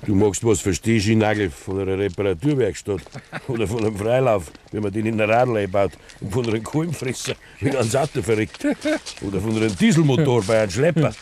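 An actor playing a tipsy, jovial, teasing village card player with a chatty Bavarian accent, in 1950s radio play style. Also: male voice, on the low side, brisk, darkish tone, natural voice. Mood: mocking. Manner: chatty, bantering. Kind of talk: 1950s German dialect radio play acting. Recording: 1950s radio recording, dull, faint noise.

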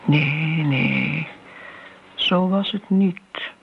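Een actrice die in een hoorspel een oudere, keurige tante speelt, ontwijkend en sentimenteel, met een ingehouden stem. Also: female voice, deep voice, very slow, exaggerated voice. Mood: defensive. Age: older. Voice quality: hushed, creaky. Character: elderly genteel aunt, evasive, sentimental, prim. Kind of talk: radio play acting. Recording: muffled, noisy old radio play recording.

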